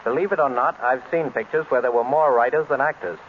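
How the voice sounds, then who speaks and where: A man's voice: medium pitch, quick pace. An American man speaking as a guest in a scripted 1930s radio interview. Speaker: screenwriter guest. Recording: tinny, noisy 1930s radio broadcast.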